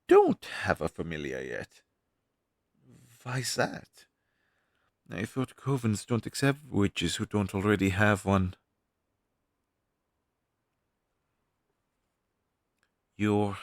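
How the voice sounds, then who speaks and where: Deep-voiced friendly demon crow familiar, acted by a man. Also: no echo, studio quality, full character voice. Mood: curious, friendly. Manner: conversational, explanatory, reassuring, with thoughtful hums. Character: friendly male demon crow familiar advising a young witch. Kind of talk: fantasy audio roleplay spoken to the listener.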